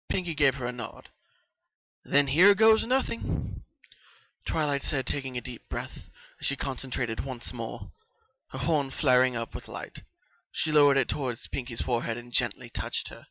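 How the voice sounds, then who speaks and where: A man narrating a story as a dramatic reading, with expressive, suspenseful delivery. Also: mid-range voice, dry recording, poor audio. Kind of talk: dramatic fan fiction reading.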